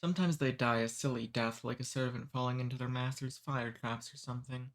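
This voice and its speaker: A man voicing a cordial, amused male demon who takes humans away, speaking softly and unhurriedly in an ASMR roleplay. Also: mid-range voice, fairly even intonation, fairly quick, close to an everyday voice.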